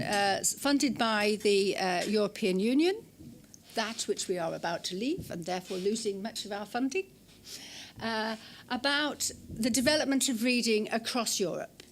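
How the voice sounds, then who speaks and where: A woman's voice: mid-range voice, a little hiss or hum. An older woman from England giving an academic lecture in a lecture room, forthright and blunt, with frequent ums and restarts. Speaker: guest lecturer. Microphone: hand mic.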